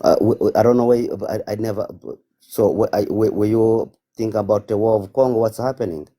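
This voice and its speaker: A man speaks as a caller on a live stream. His voice is very low and extremely dark and mellow. He talks off the cuff, haltingly, searching for words in non-fluent phrasing. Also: steady tone. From Africa. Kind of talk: casual, unscripted political talk.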